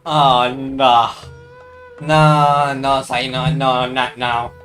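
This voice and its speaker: A man's voice: mid-range voice, little pitch movement, extremely slow, fairly bright tone, faint music behind. A man chatting and reacting casually as he livestreams a game. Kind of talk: casual live reaction chat.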